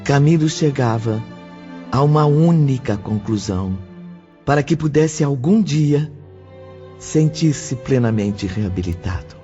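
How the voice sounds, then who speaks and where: A man narrates an audio drama from a script. His delivery is slow and his tone dark and smooth-edged. The sound is a little dull, with soft music underneath. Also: medium pitch, expressive.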